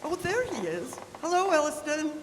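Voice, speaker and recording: A woman's voice: sits high, big pitch swings, normal speed. A woman playing a genteel, loving Edwardian wife with refined, formal phrasing in an old-time radio drama. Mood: fond, polite. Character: genteel, loving wife recovering from an ordeal, 1908. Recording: noisy, echoey live stage recording.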